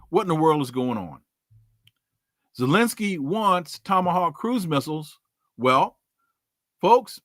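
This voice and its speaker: A man's voice: fairly high pitch, fairly bright tone, dry recording, clean studio sound. A man hosting a podcast, giving emphatic commentary with rhetorical questions and folksy sayings before bringing on his guest. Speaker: podcast host. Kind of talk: news commentary and interview opening.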